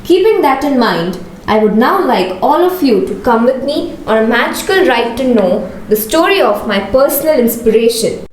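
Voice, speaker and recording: Young woman playing an earnest, high-voiced Indian girl. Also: big pitch swings, moderate pace, roomy sound, low-quality recording. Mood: indignant, determined. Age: teenager. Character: ambitious, excitable girl dreaming of the armed forces. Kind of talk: rehearsed school skit dialogue.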